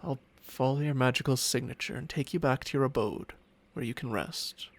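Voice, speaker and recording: A man playing a smug, possessive ancient dragon familiar in an intimate ASMR roleplay, teasing and doting in breathy murmurs that slip into whispers. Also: medium pitch, brisk. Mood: soothing, commanding. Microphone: close-up microphone with spatial panning.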